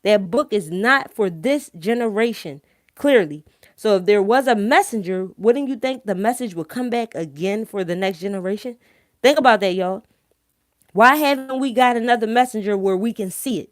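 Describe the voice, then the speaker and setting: A woman's voice: mid-range voice, moderate pace, no room sound. Female live-stream host, strong Philadelphia accent. Emphatic, opinionated, informal and sweary, recorded dry. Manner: blunt, emphatic, slangy, freely swearing; repeatedly checks listeners follow with tag questions. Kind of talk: informal opinionated talk to viewers.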